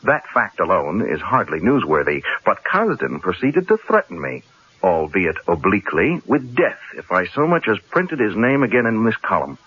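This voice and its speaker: An actor playing a wry, stubborn, articulate newspaper columnist in a radio drama. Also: male voice, on the low side, very animated, moderate pace, husky. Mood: wry, defiant. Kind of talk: 1970s radio drama acting. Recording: muffled old radio drama broadcast.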